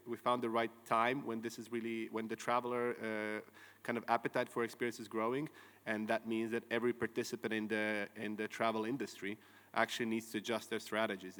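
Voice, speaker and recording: A man's voice: fairly low pitch, fairly bright tone, clear, resonant tone. Croatian man speaking in a reverberant hall. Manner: earnest, frequent "uh" and restarts. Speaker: company founder and CEO. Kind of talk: conference keynote talk. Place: large conference hall.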